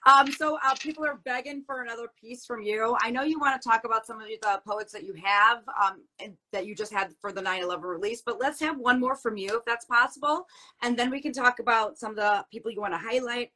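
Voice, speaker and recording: A woman's voice: fairly high pitch, fairly quick, bright, forceful tone. A woman hosting a casual interview show, chatty and encouraging, repeating herself for emphasis.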